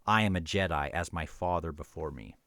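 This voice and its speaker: A man's voice: deep voice, brisk, fairly bright tone, dry recording, clean studio sound, voice pushed down. A man reading the part of a defiant young hero from a novel, solemn and firm. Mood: solemn defiance. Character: defiant young male Jedi hero, resolute.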